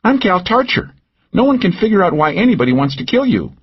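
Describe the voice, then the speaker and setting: A man's voice: sits high, fairly quick, slightly rough, dull audio, pitched above the performer's own voice, heavily acted. A man putting on a brash flirty woman. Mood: teasing. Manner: brash, teasing, lively. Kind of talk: audiobook character voice.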